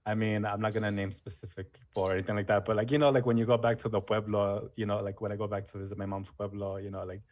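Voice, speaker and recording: Low-voiced man in casual chat. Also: little pitch movement, fast talker, hardly any pauses, darkish tone. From United States. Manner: casual, many fillers like "you know" and "um". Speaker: history teacher, guest. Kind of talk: casual conversation.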